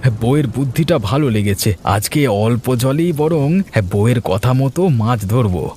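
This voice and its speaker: A man's voice: medium pitch, animated, fairly quick, dark tone, a little hiss or hum, lightly acted. A man voicing a cautious, modest older village fisherman with a mild, wry manner, in a moral-tale cartoon. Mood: mild, wary.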